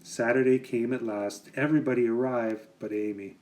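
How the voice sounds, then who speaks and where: A man reading a children's picture book aloud with expressive, dramatic phrasing. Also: medium pitch, moderate pace.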